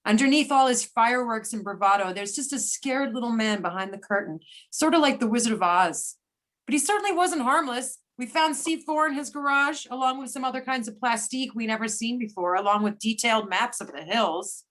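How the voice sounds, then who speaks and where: A woman reading a brisk, wry government agent in amateur readers theater, with everyday delivery. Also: a little high, a bit bright, lightly acted. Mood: matter-of-fact. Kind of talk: amateur readers theater over a remote call.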